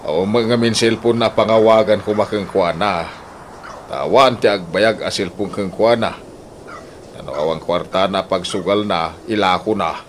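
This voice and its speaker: A very deep-voiced older Ilocano father acted by a man, polite and conciliatory. He speaks in a radio drama with slight background noise. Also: steady tone, measured pace, close to an everyday voice. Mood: apologetic. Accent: Filipino, Ilocano. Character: apologetic older father of the suitor.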